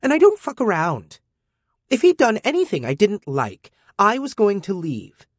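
A male reader voicing a defiant teenage girl in an audiobook, pitching up into a higher, sharper voice that sounds sulky and scornful. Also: wildly swooping pitch, a little slow, a little mellow, husky, no echo, studio quality, full character voice.

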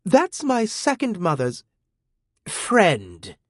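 A male reader voicing a defiant teenage girl in an audiobook, pitching up into a higher, sharper voice that sounds sulky and scornful. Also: wildly swooping pitch, unhurried, darkish tone, breathy edge, dry recording, studio quality, heavily acted. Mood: furious.

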